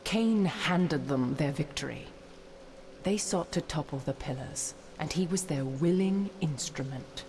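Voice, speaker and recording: An actress playing a sorrowful, bitter female ghost in a dark fantasy game, speaking in solemn, formal, archaic phrases. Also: low voice, unhurried. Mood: bitter, resolute. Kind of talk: dramatic video game voice acting. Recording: long cavernous reverb, background noise.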